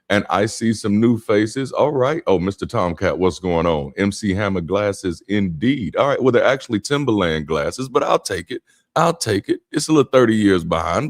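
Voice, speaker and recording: A man with a very low voice hosts a live stream in a relaxed, teasing way, laughing easily between lines. His voice sits close and dry, with no room sound around it. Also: no echo. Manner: playful, teasing, laughs often. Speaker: host. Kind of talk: casual livestream chat.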